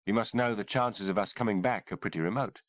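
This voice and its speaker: A man voicing a gruff, blunt male army sergeant, shaken by what he has seen. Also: on the low side, a little fast, no echo, lightly acted.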